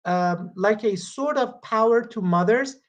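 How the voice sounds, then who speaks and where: A man, a Farsi speaker, reading verses and commenting on them in a study group over a video call, halting with frequent pauses and restarts. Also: high voice, highs cut off. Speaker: Quran study presenter.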